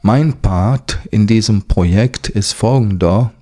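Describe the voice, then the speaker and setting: Man, lowish voice, slow, measured, formal statement. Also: dark tone. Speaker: project contributor. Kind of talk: prepared statement.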